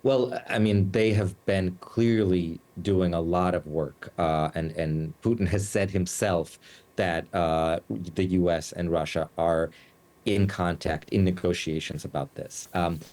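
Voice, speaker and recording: A man from the United States, a journalist giving news interview answers, speaking haltingly with many fillers and restarts. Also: sits low, steady tone, a little mellow. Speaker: journalist and Moscow bureau chief, guest.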